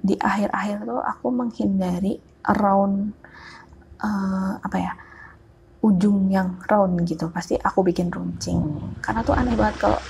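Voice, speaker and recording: A woman's voice: medium pitch, gravelly, darkish tone, breathy edge. A woman with a thick Javanese Ngapak accent and a congested, nasal voice, giving casual unscripted tutorial commentary. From Java, Indonesia. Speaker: digital illustration tutor. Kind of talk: casual unscripted tutorial commentary over a speed-art video.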